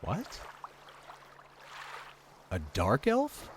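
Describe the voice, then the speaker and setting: A man playing an earnest, hesitant adventurer in a fantasy audio drama, speaking in a halting, polite way. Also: mid-range voice, wildly swooping pitch, a little hiss or hum, heavily acted. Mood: shocked. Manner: halting, apologetic. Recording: clear water ambience behind voice.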